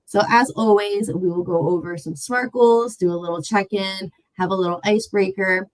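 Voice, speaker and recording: A woman's voice: medium pitch, steady tone, normal speed, rough recording. A woman nutrition educator giving an online class, upbeat and chatty, sounding a little nasal and congested after laryngitis. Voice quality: slightly nasal. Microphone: video call.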